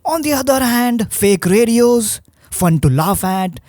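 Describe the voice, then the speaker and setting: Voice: mid-range voice, animated, measured pace, a little mellow, dry recording, studio quality. A presenter narrating a scripted video review, with a wry, rhetorical manner.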